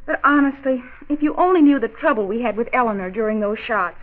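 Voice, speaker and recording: Woman acting a high-voiced, fretful young mother. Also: quick pace, phone-quality sound, close to an everyday voice. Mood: sheepish. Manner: flustered, stammering, fretful. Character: anxious, guilt-ridden young mother of two girls. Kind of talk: 1940s radio drama acting. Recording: band-limited old radio recording.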